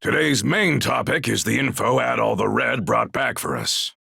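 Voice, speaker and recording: An actor playing a brash, jovial warrior in a video game, with a gravelly, raspy voice and a bluff, teasing manner. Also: male voice, medium pitch, expressive, normal speed, bright, forceful tone, no echo, studio quality, heavily acted. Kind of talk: scripted video game acting.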